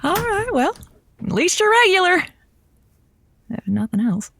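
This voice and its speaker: A woman's voice: very high-pitched, big pitch swings, a little slow, fairly bright tone, no echo, exaggerated voice. A woman playing a radio advice host in an audio comedy, wry and easily flustered, hesitating between dry quips. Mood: cheerful, wry. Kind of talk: audio comedy drama dialogue.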